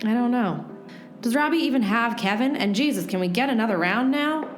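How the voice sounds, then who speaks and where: A woman's voice: on the high side, animated, a little fast, lightly acted. A woman playing a plucky, matter-of-fact detective's sidekick in a noir radio play. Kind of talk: radio play storytelling and dialogue. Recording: roomy echo, faint music bed.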